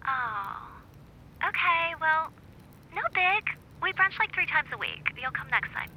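A woman's voice: very high voice, very animated, a little slow, sharp tone, slight background noise, full character voice, low-quality recording. Bubbly, swooping young socialite woman. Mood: breezy, gushing. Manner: breezy, slangy, gushing. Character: bubbly, gossipy young socialite woman, pop-culture obsessed. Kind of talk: naturalistic audio drama acting.

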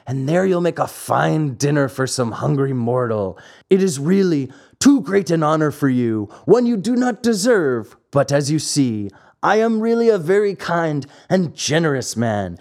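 Reader as a hearty, smug farmer, lively delivery. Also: mid-range voice. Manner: hearty, boastful. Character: jovial self-satisfied adult male farmer.

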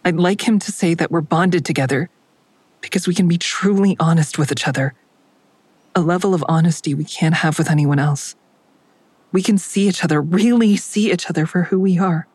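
A woman's voice: fairly low pitch, normal speed, a little mellow, slightly rough, no room sound. Wary woman in trouble, earnest and confiding, guilt-laden, audio drama. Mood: confessional, yearning. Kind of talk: full-cast audio drama acting and inner narration.

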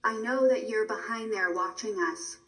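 A woman's voice: a little high, normal speed, a bit bright, lightly acted. A woman playing a polite, formal android nurse in an audio drama, protective and insistent. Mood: insistent, concerned. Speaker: robot nurse caring for a patient. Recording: roomy echo, faint background noise.

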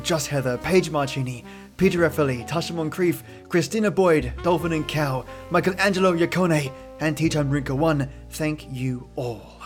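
A man hosting a podcast, speaking in an effusive, affectionate way to his listeners in a scripted introduction. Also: mid-range voice. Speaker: podcast host. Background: music behind the voice.

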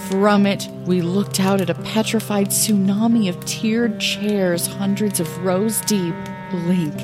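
Actress as wry lighthouse keeper narrator, slow-paced, expressive fantasy audio-drama storytelling. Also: female voice, medium pitch, lightly acted. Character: wry lighthouse keeper retelling her adventurous past. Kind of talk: scripted first-person fantasy storytelling.

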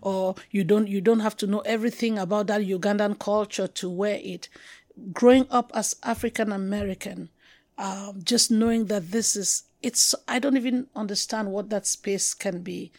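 A woman's voice: medium pitch, fairly even intonation, a little mellow, no room sound. Reflective Cameroonian woman, close dry sound. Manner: reflective, halting, with restarts and slow emphatic stretches. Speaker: guest poet. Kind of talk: conversational interview.

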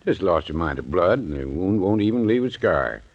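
Very deep-voiced old country doctor. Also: male voice, big pitch swings, moderate pace, full character voice. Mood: soothing, folksy. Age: older. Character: old country doctor, folksy, soothing, unhurried. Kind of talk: 1940s radio drama acting. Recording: dull vintage radio recording.

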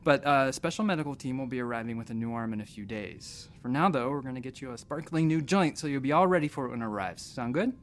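A man's voice: medium pitch, fast, few pauses, a little hiss or hum, natural voice. A man playing a weary, intense surgeon in a sci-fi audio drama, with a dry, hesitant, terse delivery. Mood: awkward, apologetic. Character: weary, intense, awkward male surgeon in space. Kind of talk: audio fiction acting.

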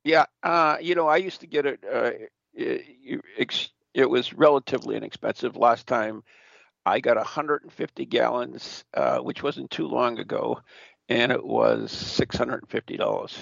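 A man's voice: mid-range voice, moderate pace, gravelly, no room sound. A man hosting a casual radio show, chatty and rambling with fillers. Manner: lively, chatty, trails off with fillers. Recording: dull lo-fi dry audio.

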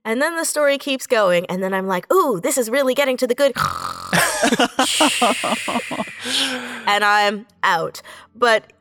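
A woman's voice: fairly high pitch, slow pace, gravelly, pauses often, noisy background. A woman giving casual interview answers as an audio drama writer, animatedly acting out her own thoughts as she tells things. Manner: animated storytelling, acts out own thoughts in quotes.

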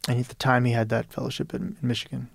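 A man's voice: medium pitch, little pitch movement, fast talker, vocal fry, no echo. A man from Peru talking as an interview guest, rambling with many restarts and "you know". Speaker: interview guest, novelist and radio producer. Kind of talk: casual interview conversation.

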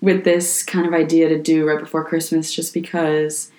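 Low-voiced, slightly hoarse young woman. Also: little pitch movement, moderate pace, mellow. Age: young adult. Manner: chatty, full of "like" and restarts. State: slightly hoarse from a sore throat. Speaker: podcast co-host. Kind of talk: casual chat. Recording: echoey room.

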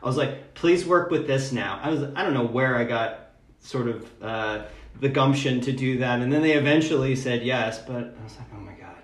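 A man's voice: medium pitch, normal speed, a little hiss or hum. Man talking informally in an echoey room. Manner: informal, emphatic, with frequent "you know" and "like". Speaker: guest poet and book prize judge. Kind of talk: conversational podcast interview.

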